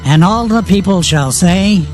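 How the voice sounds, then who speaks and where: A man's voice: high-pitched, animated, a little slow. A man narrating dramatized scripture in a solemn, declamatory way, with music behind.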